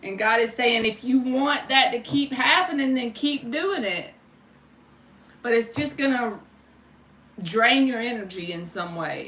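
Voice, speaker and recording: A woman with a fairly high, bright, forceful voice reads tarot on a casual livestream. She talks informally and directly to her viewers. The sound is roomy and a little distant from the mic. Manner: informal, chatty, addresses viewers by name, mild swearing. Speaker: tarot card reader and livestream host. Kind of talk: casual livestream chat with spiritual tarot readings.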